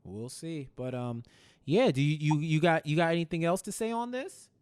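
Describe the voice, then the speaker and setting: A man with a fairly high voice chats casually as a podcast host. He retells scenes by voicing the quotes and often doubles up phrases for emphasis. The style is loose, conversational TV recap. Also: moderate pace, a little mellow, smooth.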